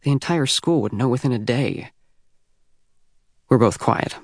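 A man's voice: medium pitch, expressive, measured pace, no echo. Wry American man narrating, dry recording. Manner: youthful, wry, conversational. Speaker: audiobook narrator. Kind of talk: first-person teen novel narration.

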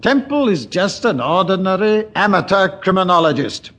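An actor playing an irritable, pompous senior British police chief in a brusque, impatient manner, in 1940s radio drama style. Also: male voice, sits high, big pitch swings, moderate pace, fairly bright tone. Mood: irritated.

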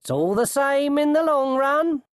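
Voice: sits high, expressive, unhurried, no echo, clean studio sound, pitched above the performer's own voice. A narrator voicing a bluff, bossy male coachman in an audiobook, bellowing heartily. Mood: menacing cheer. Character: bluff, commanding coachman, a man, loud and hearty.

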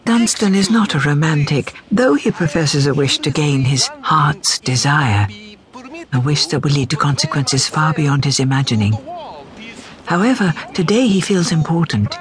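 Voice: mid-range voice, lively intonation, moderate pace, natural voice. An actor reading as the omniscient storyteller of a fairy tale in a scripted radio drama. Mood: measured storytelling. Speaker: narrator. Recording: music under the voice. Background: music.

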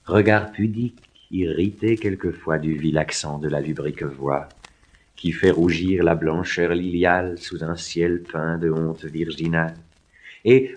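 A man's voice: low voice, a little mellow. A man giving a solemn, declamatory recitation of literary poetry. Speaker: poetry reader.

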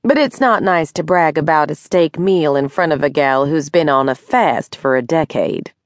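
Woman voicing a dry, low-voiced sardonic waitress. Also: lively intonation, normal speed, no echo. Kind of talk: audiobook character dialogue.

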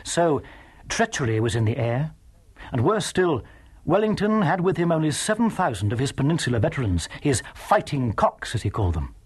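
A man giving scripted, dramatic historical narration in a measured, emphatic manner with a husky voice. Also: a little high, darkish tone.

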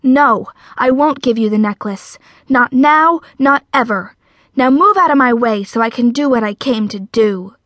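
A woman's voice: fairly high pitch, expressive, a little slow, fairly bright tone, no room sound. A woman playing a brave young girl in a storybook, speaking with bold, emphatic defiance though afraid. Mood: bold, determined.

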